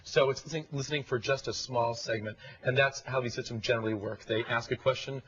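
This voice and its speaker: A man's voice: medium pitch, fairly even intonation, fast, a bit bright. A man speaking as a guest expert, explaining things in an interview. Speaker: guest expert on speech recognition. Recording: dull lo-fi broadcast audio.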